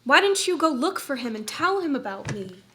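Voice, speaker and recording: A woman's voice: sits high, big pitch swings, normal speed, pushed and bright, heavily acted. An actress reading the part of a grown daughter in a staged play, pressing her mother with pointed, accusing questions. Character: grown daughter, hurt and confrontational.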